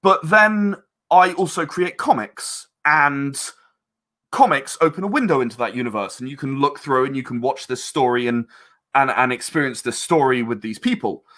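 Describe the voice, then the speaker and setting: A man's voice: mid-range voice, lively intonation, normal speed, sharp tone. A man talking casually as an interview guest about his creative work, chatty and rambling as he explains. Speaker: interview guest, game and audio drama creator.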